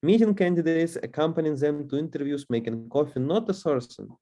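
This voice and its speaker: A man's voice: a little high, brisk, warm tone. Man with a Russian accent, teaching. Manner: hesitant, many fillers and restarts. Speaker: course instructor. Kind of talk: webinar lecture.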